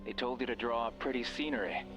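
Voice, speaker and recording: A sinister male radio voice acted by a man in a horror game, speaking at a middle pitch over clearly audible music. He is bitter and commanding, narrating your sins back at you as he manipulates you onward. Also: fast, close to an everyday voice, low-quality recording. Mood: bitter, taunting. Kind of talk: dramatic second-person horror game narration.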